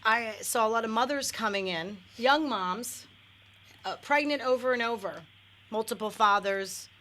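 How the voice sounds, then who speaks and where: A woman's voice: fairly high pitch, unhurried, bright, forceful tone. A middle-aged woman, a guest on a radio talk show, talking candidly with lots of fillers and restarts. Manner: candid, many fillers and self-corrections. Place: radio studio.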